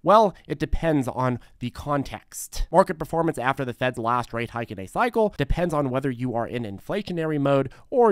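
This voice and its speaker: An American man hosting a stock market video, giving energetic, emphatic, colloquial commentary to viewers in a slightly hoarse voice. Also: mid-range voice, no room sound, clean studio sound. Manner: emphatic and colloquial, repeating words for effect.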